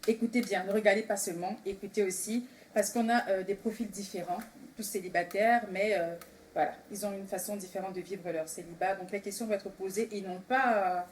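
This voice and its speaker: A woman's voice: medium pitch, fairly even intonation, fairly quick, a little mellow. A woman hosting a casual group discussion in an enthusiastic manner. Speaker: host. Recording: clearly noisy room recording.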